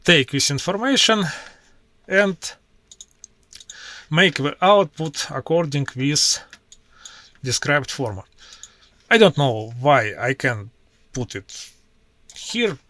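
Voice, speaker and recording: Non-native male tech presenter, Russian accent, halting delivery with frequent pauses, crisp bright voice. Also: fairly high pitch, big pitch swings, no echo. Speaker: IT professional demoing his workflow. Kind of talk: screen-share tutorial walkthrough.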